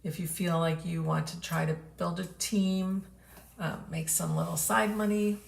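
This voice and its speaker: A woman hosting a casual craft product showcase, chatty and unhurried, who is losing her voice and clears her throat often. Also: on the low side, fairly even intonation. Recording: echoey room with background noise.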